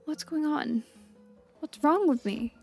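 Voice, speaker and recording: Stubborn, worn-out young swordswoman acted by a woman, high voice, earnest video game heroine. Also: slow, vocal fry, faint music behind, exaggerated voice. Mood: anxious, determined. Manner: earnest, hesitant, self-questioning. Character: driven, exhausted, stubborn young woman warrior.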